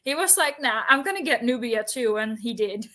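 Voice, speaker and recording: Chatty woman with a slightly high voice. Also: animated, normal speed, sharp tone. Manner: chatty, explanatory, with informal asides. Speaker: book discussion co-host. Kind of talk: casual chat about books and history.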